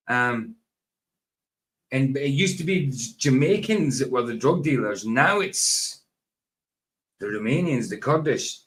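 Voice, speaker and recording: A man's voice: medium pitch, fairly bright tone. A middle-aged Scottish man chatting on a live stream, repeating himself and drifting into tangents as he talks over a video. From Scotland.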